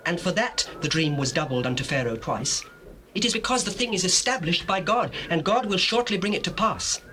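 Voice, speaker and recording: An earnest young prophet with a British accent, high and forceful, declaiming solemn interpretations. The delivery is emphatic biblical drama with lively pitch, on a faintly hissy old tape. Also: male voice, fairly quick. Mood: solemn, urgent. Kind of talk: dramatized scripture acting in archaic English. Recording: faint hiss of old cassette.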